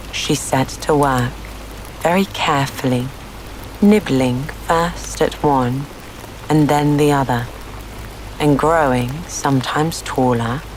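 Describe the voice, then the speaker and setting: A woman's voice: low voice, slight background noise, low-quality recording. A woman storyteller reading a scripted bedtime story. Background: rain on surfaces.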